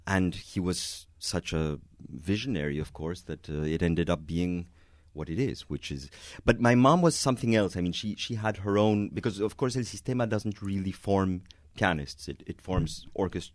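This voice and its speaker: A man's voice: low voice, moderate pace, hardly any pauses, no echo, studio quality. A man from Venezuela, a concert pianist, answering interview questions in a hesitant way, with many restarts and light chuckles.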